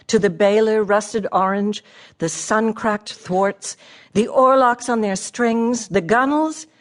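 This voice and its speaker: A literary woman reading her writing in a radio drama. She is wry, precise and a little shy. Her medium-pitched voice has animated rises and falls. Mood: intent, reflective. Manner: dry wit, precise. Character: shy, wry, observant female poet writing to a friend. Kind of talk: radio theatre, reading letters and verse aloud.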